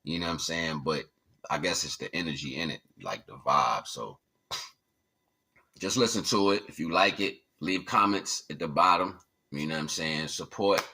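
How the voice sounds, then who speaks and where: A man's voice: very deep voice, normal speed, bright, forceful tone. A man talking casually as a livestream host, in slangy, informal speech, often checking in with his listeners. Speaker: livestream host and hobby musician.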